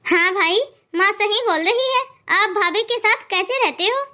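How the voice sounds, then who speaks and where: A bossy young sister-in-law from a cartoon, played by a woman. Her voice is extremely high and bright. She taunts and accuses haughtily. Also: normal speed. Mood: indignant. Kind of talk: cartoon moral-story acting.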